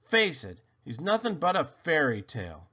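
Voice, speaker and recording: A man's voice: on the high side, wildly swooping pitch, normal speed, bright, forceful tone, husky, voice pushed up, full character voice. A man doing scoffing boy Alfie. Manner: insistent, scoffing. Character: skeptical know-it-all young boy. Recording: dull lo-fi recording.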